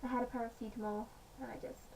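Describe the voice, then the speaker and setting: A woman with a slightly croaky voice, chatting casually in a home vlog with many pauses and fillers. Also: a little high, level tone, darkish tone. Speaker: vlogger. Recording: distant mic, faint background noise.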